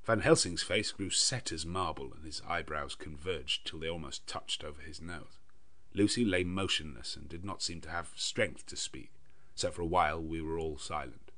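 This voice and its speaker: A man with a very low voice narrates a classic gothic novel. His delivery is measured and literary, that of an audiobook storyteller reading from a script. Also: fairly bright tone.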